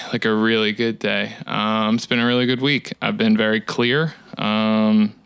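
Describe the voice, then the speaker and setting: A man in his thirties talking in a casual, rambling solo monologue, with a dry, monotone delivery. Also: on the low side, creaky, clear, resonant tone, no echo. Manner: rambling, reflective, many fillers. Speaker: musician hosting his own talk series. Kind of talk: casual solo talk to camera.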